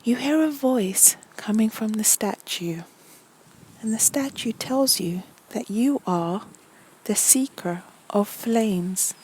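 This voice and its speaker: A woman narrating a guided meditation story in a soothing, deliberate way, with a soft, breathy voice that often nears a whisper and many pauses between short phrases. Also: a little high, slight background noise, low-quality recording.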